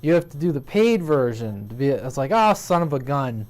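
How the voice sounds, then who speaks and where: A man hosting a casual radio hobby stream, talking in a relaxed, rambling way with restarts. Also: medium pitch, moderate pace. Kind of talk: casual chat.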